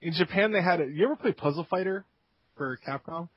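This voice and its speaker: A man co-hosting a casual gaming podcast, relaxed and chuckling, often trailing off mid-sentence. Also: sits high, quick pace, husky, no room sound. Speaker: podcast co-host. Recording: dull lo-fi home podcast mic.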